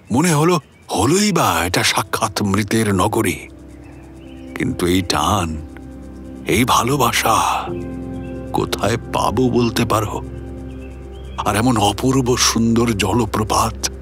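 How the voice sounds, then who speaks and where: An actor playing an older man recounting an eerie experience in a theatrical, reflective audio drama monologue. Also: on the low side. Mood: wistful, reflective. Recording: music behind the voice.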